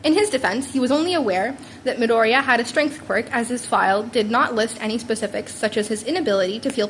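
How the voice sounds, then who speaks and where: A woman's voice: a little high, slight background noise. A woman reading fan fiction aloud in a wry, informal way, often stumbling and repeating words. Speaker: podfic reader narrating fan fiction. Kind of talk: scripted narration read aloud.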